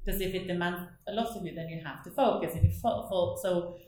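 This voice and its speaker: A woman's voice: lowish voice, a little fast, mellow, very echoey. A woman from northern Norway with a Norwegian accent, a guest in an interview, talking in a rambling, self-correcting way and laughing often. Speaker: artist guest. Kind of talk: casual interview chat.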